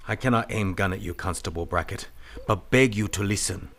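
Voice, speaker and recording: A man playing a wise, unflappable Chinese-American detective from an old mystery radio drama. His voice is low and measured, and he speaks formal, clipped broken English in tidy sayings. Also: a bit bright. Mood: calm, polite.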